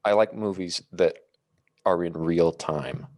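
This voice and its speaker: A man's voice: sits low, takes it slowly, a little mellow. A man on a podcast panel chatting casually about films. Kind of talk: casual film discussion chat.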